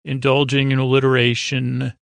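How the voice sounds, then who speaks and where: A man with a gravelly, creaky voice rambling as host of a sleep podcast, halting and stumbling over words as the thought wanders. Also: mid-range voice, monotone, dry recording.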